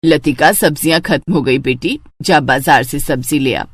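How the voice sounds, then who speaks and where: A woman's voice: sits low, moderate pace, a little hiss or hum, voice pushed down, natural voice. A woman voicing a thrifty older mother-in-law in a moral story cartoon, watchful and fond of nagging household advice. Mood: instructive.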